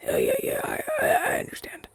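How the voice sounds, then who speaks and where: A man's voice: brisk, no room sound, raised voice, lightly acted. A young man playing a chatty, down-on-his-luck crow demon, once a witch's familiar, in a hesitant, stammering roleplay audio drama. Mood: dejected.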